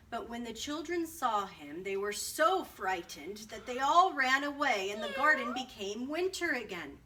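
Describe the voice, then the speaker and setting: Woman, expressive children's story narration, bright slightly high voice, distant mic, faint noise. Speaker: storybook reader.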